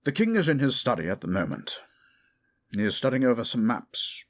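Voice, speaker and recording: A man's voice: medium pitch, sing-song. A man playing a learned, cautious royal chancellor, speaking formally and precisely in a fantasy audio drama. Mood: advising. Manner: formal, deliberate, precise wording.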